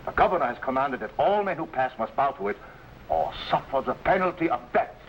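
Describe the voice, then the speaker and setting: A man plays a worried, loyal Swiss conspirator in a vintage adventure serial. His mid-pitched voice swings expressively through urgent, archaic phrasing, with faint noise on the old recording. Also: animated, fairly quick, muffled recording, close to an everyday voice. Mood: grave, worried. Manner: urgent, archaic formal speech. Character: anxious, loyal Swiss conspirator bringing news. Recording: slightly noisy vintage broadcast recording.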